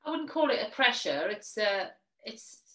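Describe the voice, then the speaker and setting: A woman's voice: a little high, sing-song, normal speed, pushed and bright. A woman in her forties with a Welsh accent, a magazine editor speaking openly in a video-call interview over a headset. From Wales. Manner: open, candid, talks in long runs. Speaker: magazine editor-in-chief, guest. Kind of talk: casual interview chat.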